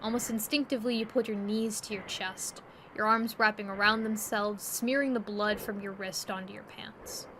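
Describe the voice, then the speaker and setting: A woman narrating a scripted second-person story in an expressive, descriptive storytelling manner. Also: mid-range voice, normal speed. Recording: faint background ambience noise.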